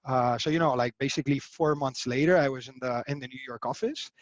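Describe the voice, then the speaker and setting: A man's voice: medium pitch, quick pace, no room sound, dull audio. A man in his thirties from Spain, chatting as an interview guest over a video call with lots of fillers and restarts. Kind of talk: casual interview answers.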